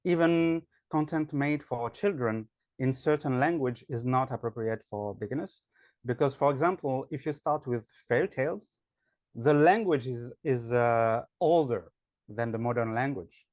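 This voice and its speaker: A man's voice: medium pitch, measured pace, darkish tone, dry recording. French guy, english teacher, lots of uhs and ums, mid voice, dry sound. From France. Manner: hesitant, many fillers and restarts. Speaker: guest, online English teacher. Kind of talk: casual interview answers.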